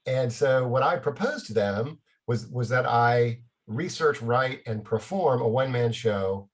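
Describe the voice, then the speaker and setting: A man's voice: mid-range voice, lively intonation, unhurried. A middle-aged man from Texas talking casually about himself and his work as a panel guest. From Dallas, Texas.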